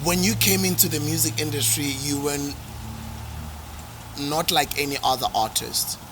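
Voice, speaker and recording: A man's voice: a little high, steady tone, moderate pace, noisy background. A man hosting a podcast, asking long earnest questions and correcting himself as he goes. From South Africa. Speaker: podcast host. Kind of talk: casual interview.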